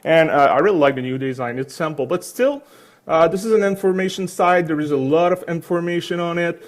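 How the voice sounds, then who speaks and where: A man's voice: a little high, a little fast. A man with a French accent in his thirties, giving a chatty, joking conference talk in a hall, with many fillers. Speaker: conference speaker.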